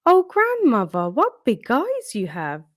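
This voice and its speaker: A woman's voice: a little high, wildly swooping pitch, slow pace, no echo, studio quality, pitched above the performer's own voice, heavily acted. A woman voicing an innocent, trusting and polite little girl in a children's storybook. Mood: astonished. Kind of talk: storybook read-aloud character.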